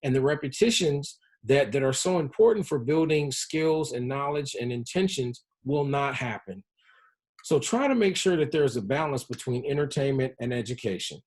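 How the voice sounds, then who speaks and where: A man giving an educational presentation as a public health presenter, explaining things in a measured way. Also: medium pitch, expressive, a little mellow. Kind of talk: educational presentation on health-focused game design.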